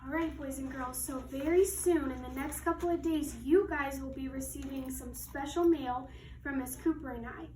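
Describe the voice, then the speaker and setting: A woman with a high voice reads aloud as a teacher would to young children. The room is echoey and she sits a little back from the mic, with faint noise. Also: moderate pace, a little mellow, distant mic. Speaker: second grade teacher. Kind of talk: children's story read-aloud.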